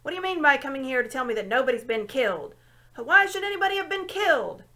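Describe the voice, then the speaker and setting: Lady doing a cranky deaf old dwarf guy, shouty and sharp, talks too loud. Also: sits high, big pitch swings, quick pace, voice pushed up, heavily acted. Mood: irritable. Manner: loud, irritable, barking. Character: deaf, grumpy old male dwarf lord. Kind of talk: audiobook reading.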